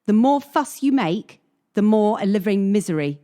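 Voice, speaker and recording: A woman's voice: medium pitch, expressive, normal speed, warm tone, close to an everyday voice. A woman playing a cynical, hardened young gymnast from Kyiv in a radio play, blunt and world-weary. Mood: stern, resigned.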